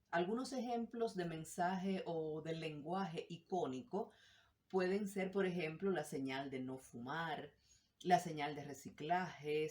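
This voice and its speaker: Low-voiced woman teaching a lesson. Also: darkish tone. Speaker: language teacher. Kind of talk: explanatory lesson.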